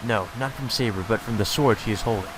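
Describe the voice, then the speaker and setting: A man's voice: lowish voice, fast talker, low-quality recording. A man reading visual novel text aloud as a let's play host, with dramatic delivery and casual asides, over game music. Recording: music playing behind the voice.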